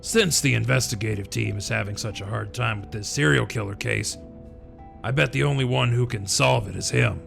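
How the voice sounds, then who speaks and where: A man playing a knowing senior police detective in an anime dub, with a gravelly voice. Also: mid-range voice, moderate pace, over music. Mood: matter-of-fact.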